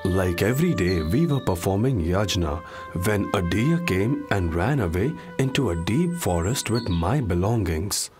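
A man's voice: low voice, expressive, unhurried, over music. A man voicing a distressed Brahmin pleading for help in a cartoon.

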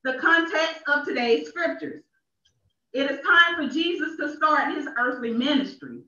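A woman's voice: high-pitched, unhurried, sharp tone, recorded from a distance. A woman minister delivering a sermon in an emphatic way, repeating phrases for effect, in a small church. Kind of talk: sermon read with deliberate emphasis. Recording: echoey, dull church livestream from off.

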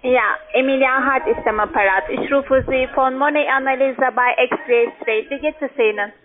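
A woman with a high voice makes a sales call over a tinny phone line. She is a non-native speaker with a foreign accent, talking like a call-centre agent. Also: moderate pace, background noise. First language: not German. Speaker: call-centre account manager.

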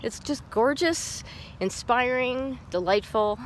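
A woman's voice: a little high, takes it slowly, slight background noise. A middle-aged woman chatting cheerfully on a live walking vlog, laughing often, recorded outdoors on a phone held at arm's length. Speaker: vlogger. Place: outdoors on a path in a park.

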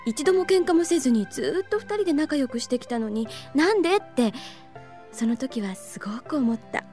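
A voice actress playing a gentle, wistful young woman teacher in an anime audio drama, soft and reflective. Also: high voice, sing-song, moderate pace, faint music behind.

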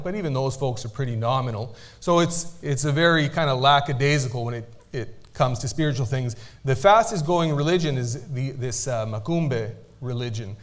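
A middle-aged man giving a casual missionary report from a church pulpit, talking conversationally with frequent filler sounds. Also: mid-range voice. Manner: conversational, many "uh" fillers, gestures with hands. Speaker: missionary speaker. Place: church sanctuary at a wooden pulpit. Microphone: pulpit microphone, far camera.

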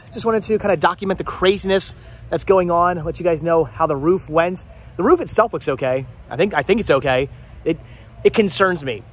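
A man in his thirties vlogging outdoors with the phone at arm's length, talking fast and rambling in an exasperated way, often repeating words. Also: on the high side. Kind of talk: frustrated life-update vlog. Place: outdoors in a house yard. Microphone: phone camera held at arm's length.

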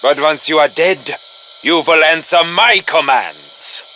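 Game villain: German-accented Nazi commander of the undead, piercingly bright and forceful, slow and gloating, male. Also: a little high, sing-song, a little hiss or hum, heavily acted. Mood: gloating contempt. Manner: menacing, pompous, gloating. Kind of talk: theatrical video game villain acting.

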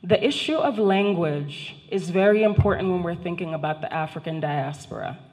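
Measured low-voiced woman lecturing in a reverberant hall. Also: animated, a little mellow. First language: not English. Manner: formal, measured, addresses the audience directly. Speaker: guest speaker, professor. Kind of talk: prepared public lecture. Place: large indoor function hall, seated at a panel table. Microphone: handheld microphone.

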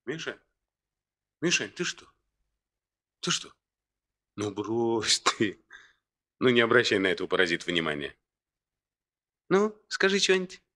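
A man's voice: lowish voice, very animated, a little slow, long pauses, sharp tone, full character voice. A man acting in a 1960s radio play as a brash young worker, a cheeky, needling womanizer. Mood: urgent coaxing.

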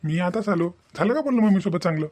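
A man's voice: sits high, lively intonation, quick pace, darkish tone. A man voicing a devoted, earnest young husband in a cartoon family drama, with a Varhadi Marathi accent. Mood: gentle, casual. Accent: Maharashtrian, Varhadi Marathi. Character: devoted, considerate young husband musing to himself.

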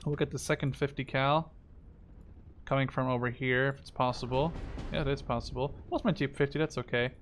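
A man's voice: medium pitch, steady tone, brisk, soft background music. Young man casually commentating a strategy game. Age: 20s. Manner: thinking aloud, muttering, casually profane. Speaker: gamer commentating own play. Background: game gunfire and artillery.

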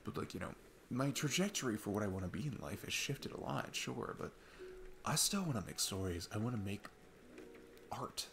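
A man's voice: lowish voice, animated, normal speed, vocal fry, a bit bright, slight background noise. A young man playing an easygoing, earnest divorced dad on a date, chatting in a casual, rambling ASMR roleplay style. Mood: earnest, passionate. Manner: rambling, informal, chuckling often. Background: music.

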